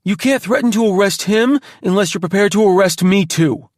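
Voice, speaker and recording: A man voicing a defiant young son who pleads and shouts in a high voice. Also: fairly quick, dry recording, studio quality, close to an everyday voice. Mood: pleading.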